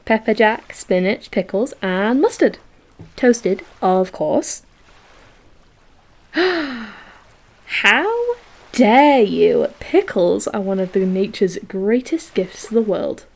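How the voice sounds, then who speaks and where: A woman's voice: mid-range voice, lively intonation, takes it slowly, creaky, long pauses, heavily acted. A woman acting a playful, affectionate girlfriend in a romantic audio roleplay, with a theatrical, teasing manner. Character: playful, dramatic, affectionate girlfriend on a beach picnic. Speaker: voice actor.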